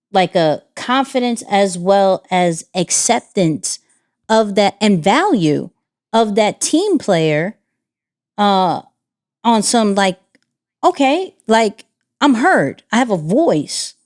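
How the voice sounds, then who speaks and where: A young adult woman co-hosting a podcast in casual chat, animated, with her voice jumping high when she gets excited. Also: slow, long pauses. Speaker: podcast co-host.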